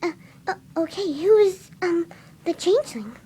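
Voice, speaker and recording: Woman voicing a very high-pitched, timid pegasus pony. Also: animated, moderate pace, warm tone, a little hiss or hum. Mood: nervous. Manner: nervous, hesitant stammering. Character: timid, gentle, motherly female pegasus pony. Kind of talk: fan fiction dramatic reading.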